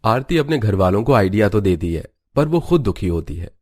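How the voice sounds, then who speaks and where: Male narrator for cartoon tales: low voice, scripted storytelling delivery. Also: very animated, fairly quick, darkish tone.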